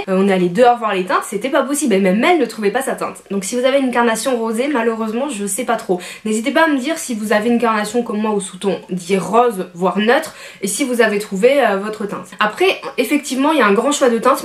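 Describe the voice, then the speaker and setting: A young adult woman with a French accent, chatting through a casual beauty product review and explaining herself in asides. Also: medium pitch. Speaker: beauty vlogger.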